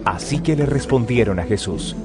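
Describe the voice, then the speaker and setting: A man's voice: medium pitch, animated, normal speed. Male scripture narrator over music.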